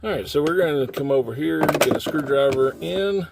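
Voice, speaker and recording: A man's voice: fairly high pitch, a little fast, creaky, heavy background noise. A man hosting a casual how-to video, talking through a job in folksy, informal phrasing as he works. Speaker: how-to video host, trucker. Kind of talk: casual hands-on tutorial, talking while working. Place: in and around a truck cab.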